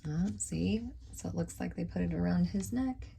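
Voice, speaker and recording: A woman reading a picture book aloud to young children in an expressive, informal way, with casual asides. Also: fairly low pitch, moderate pace, vocal fry, slight background noise. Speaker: teacher reading aloud to kindergarteners.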